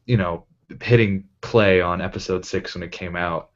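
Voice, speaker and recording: A man's voice: sits low, steady tone, normal speed. A man chatting casually on a cast panel, stressing and repeating words for emphasis, over a lo-fi connection. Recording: dull lo-fi voice call.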